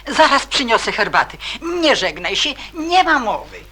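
An actress playing an effusive, motherly woman in a radio drama, husky and emphatically exclamatory. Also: fairly high pitch, big pitch swings, normal speed, sharp tone, heavily acted. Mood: grateful, insistent. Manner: exclamatory, repeats words emphatically. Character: effusive, excitable, motherly adult woman, grateful and insistent. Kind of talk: Polish radio drama acting.

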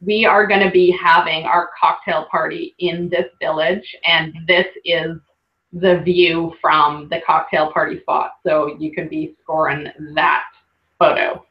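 A bubbly woman talks through a slideshow in a loose, chatty way, sprinkling in lots of "like" and quick laughs. Her voice sits a little low. The web call audio is dull and lo-fi. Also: level tone. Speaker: workshop host presenting slides. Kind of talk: casual webinar presentation.

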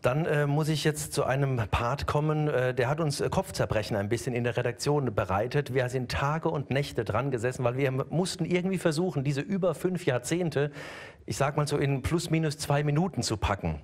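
A man's voice: mid-range voice, brisk. A middle-aged male host chatting with his guest on a studio stage. He talks casually and restarts his sentences now and then, holding a handheld mic. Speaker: TV show host. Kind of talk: award show interview. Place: dark TV studio with seated audience.

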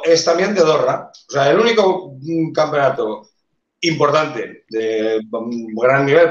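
A man on a video call explaining motorcycle engineering in a live debate, with many pauses and restarts. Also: medium pitch. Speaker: engineer panelist. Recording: roomy, dull video call audio.

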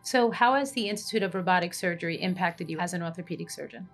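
Podcast host, female: rapid, slightly low voice, brisk friendly questions, desk mic. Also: faint music behind. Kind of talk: hospital podcast interview. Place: small studio set with plant and armchairs. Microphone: desk podcast microphone on arm.

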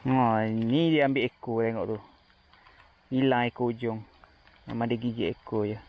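A man with a Kelantanese Malay accent chatting casually through a fishing vlog, with quick excited exclamations, filmed outdoors on a riverbank with a body-worn action camera. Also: mid-range voice, muffled recording, a little hiss or hum. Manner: chatty, excited exclamations while reeling fish. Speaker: fishing vlogger. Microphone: chest- or head-mounted action camera.

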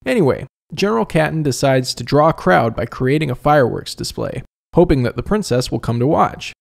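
A man's voice: a little high, expressive, brisk, creaky, slight background noise. A man narrating a scripted review as a video host, conversational with wry asides.

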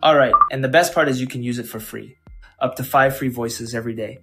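A man hosting a tech channel, narrating a scripted software tutorial. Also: medium pitch, fairly even intonation, brisk. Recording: music behind.

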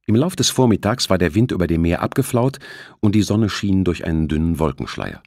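A man's voice: deep voice, lively intonation, fairly quick, a little mellow, no echo, studio quality. A man narrating a thriller audiobook in a scripted, storytelling style.

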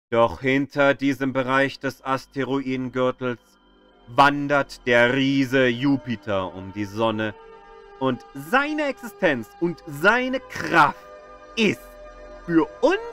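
A man's voice: a little high, fairly bright tone, dry recording, clean studio sound. A man reading scripted educational narration as an audio guide, drawing out key words for dramatic emphasis.

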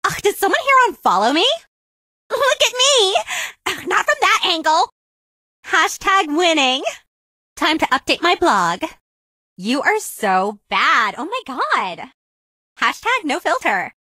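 A woman's voice: very high voice, very animated, slow, pauses often, sharp tone, no room sound, studio quality, exaggerated voice. A woman voicing a vain, snarky zombie teenage influencer for a video game, with a sassy, exaggerated valley-girl delivery. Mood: indignant, sassy, then scornful. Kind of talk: video game character voice lines.

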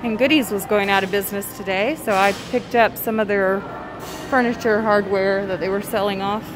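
A woman filming a walking vlog through an empty mall on her phone, musing aloud in a wistful way with soft hums. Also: mid-range voice, steady tone, moderate pace, over music, rough recording. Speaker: vlogger. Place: inside an empty shopping mall. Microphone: phone camera held while walking.

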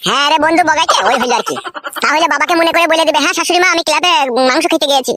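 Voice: high voice, racing, pushed and bright. A performer voicing a naive, food-loving young village son-in-law in a comedy cartoon. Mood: eager. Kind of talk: Bengali comedy cartoon dub.

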